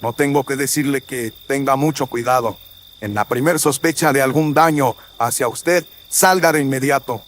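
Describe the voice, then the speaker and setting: A man's voice: medium pitch, pitched above the performer's own voice, lightly acted. Man playing a courtly, authoritative colonial viceroy. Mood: grave, courteous. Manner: formal, measured, courtly. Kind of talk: audio drama acting. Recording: faint noise with crickets and insects.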